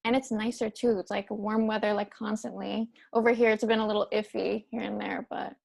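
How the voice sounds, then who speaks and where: A young woman interviewing a band for college radio, eager and affirming with quick replies. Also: mid-range voice, flat delivery, creaky, darkish tone. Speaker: radio hip-hop director, interviewer. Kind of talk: casual interview.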